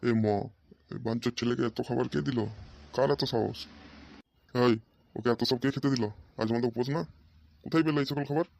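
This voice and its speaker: A man voicing a grumpy, stingy, middle-aged cartoon father who gruffly scolds and curses. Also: mid-range voice, brisk, long pauses, a little mellow, lightly acted. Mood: outraged. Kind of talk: amateur comic cartoon dubbing. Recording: muffled, faint background noise.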